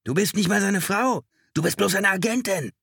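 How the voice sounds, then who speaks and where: A man voicing a frantic, paranoid hostage-taker in agony for an audiobook, with a high, trembling, strained voice, ranting and accusing. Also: normal speed, creaky, fairly bright tone, slightly rough, no room sound, clean studio sound, heavily acted. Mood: enraged, paranoid. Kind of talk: audiobook character acting.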